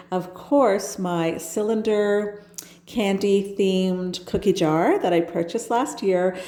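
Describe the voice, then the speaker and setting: A woman's voice: mid-range voice, mellow, echoey room. A woman with a stuffed-up nose from a cold, chatting enthusiastically as she narrates her home decorating. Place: home kitchen.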